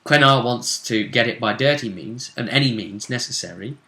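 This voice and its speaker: A man commentating as host on a fantasy role-play tournament, with deliberate, halting phrasing. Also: medium pitch, bright, forceful tone, slight background noise. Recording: roomy, echoing sound.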